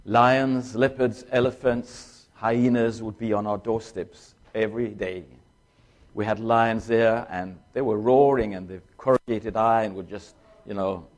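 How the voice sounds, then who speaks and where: Older man with a Danish accent, low voice. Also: steady tone. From born in China to Danish parents, raised in Tanzania. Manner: conversational storytelling, slows down to read scripture. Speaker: guest speaker. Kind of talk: sermon testimony.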